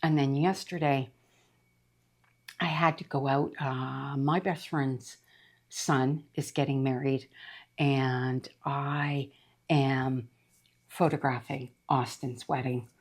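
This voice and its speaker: A middle-aged woman chatting casually about books she read, her voice rough after being sick, rambling with lots of fillers. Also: rumbling low, steady tone, takes it slowly, pauses often. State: voice rough from recent illness. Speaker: book reviewer. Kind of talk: casual book review chat.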